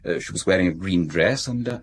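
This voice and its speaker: An actor playing an awkward, guarded middle-aged aristocrat in a radio drama, polite and halting with nervous hesitations. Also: male voice, low voice, husky. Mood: embarrassed, evasive.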